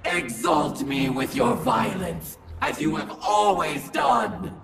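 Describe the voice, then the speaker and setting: An actress voicing a monstrous, wrathful alien goddess of war in a video game, declaiming in a bellowing, domineering, imperious way. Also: female voice, lowish voice, big pitch swings, takes it slowly, vocal fry, full character voice. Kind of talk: video game villain monologue. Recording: echoing voice over faint music.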